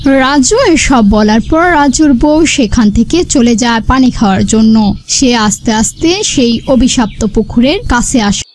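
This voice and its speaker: Woman narrating a cartoon tale, really high voice, a little noise in the back. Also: unhurried. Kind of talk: scripted cartoon story narration.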